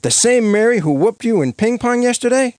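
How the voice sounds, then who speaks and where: A man voicing an excitable, earnest, slightly clueless attorney in a cartoon comedy. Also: very high voice, fairly quick, no room sound, studio quality. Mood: earnest, surprised. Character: excitable, dim but eager male cartoon attorney. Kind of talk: animated comedy dialogue. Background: music.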